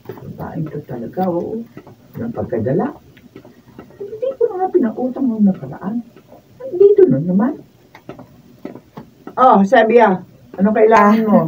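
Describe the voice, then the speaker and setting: An actress playing a haughty, sharp-tongued wife in a Filipino radio drama. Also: female voice, on the high side, huge pitch swings, measured pace, pauses often. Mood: irritated. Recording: muffled distant mic, faint noise.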